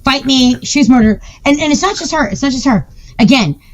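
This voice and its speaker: A woman's voice: high-pitched, lively intonation, fairly quick, a crowd in the background, low-quality recording. A woman hosting a casual chat, talking emphatically and insistently, often repeating her points.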